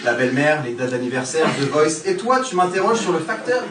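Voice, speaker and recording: A man doing stand-up, firing off a rapid, mock-indignant rant in a slightly high voice. He sounds far from the mic in a roomy hall, and loud noise sits under him. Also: big pitch swings. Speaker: stand-up comedian. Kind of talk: stand-up comedy routine. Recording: distant echoey stage recording, loud noise.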